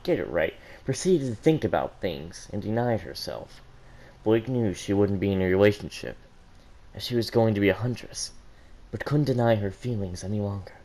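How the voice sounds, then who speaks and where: A man's voice: lowish voice, lively intonation, normal speed, a little mellow. A man reading a story aloud as an amateur, stumbling over words and muttering corrections to himself. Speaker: fan fiction reader on a gaming channel.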